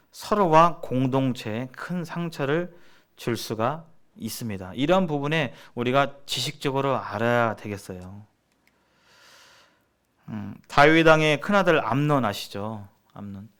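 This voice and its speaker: A man's voice: medium pitch, animated, unhurried, fairly bright tone. Man giving a conversational church teaching. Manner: conversational and didactic, posing questions to listeners. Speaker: church seminar speaker. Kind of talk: sermon-like teaching to church members.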